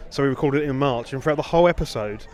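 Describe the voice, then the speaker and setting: A man's voice: mid-range voice, very fast, a little mellow. A man with a British accent hosting a casual podcast chat, often circling back over his phrases. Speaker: podcast host.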